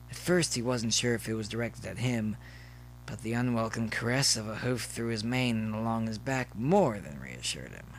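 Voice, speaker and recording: A man's voice: mid-range voice, low-quality recording. A man reading scripted story narration as the narrator. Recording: faint noise behind the voice.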